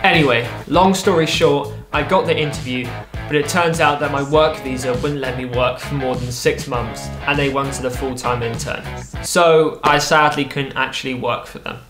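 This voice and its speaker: A young man narrating a personal story in a vlog, lively and wry, with playful asides. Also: mid-range voice, normal speed, echoey room, music in the background. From England. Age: young adult. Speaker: vlogger. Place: small room with a desk lamp, indoors.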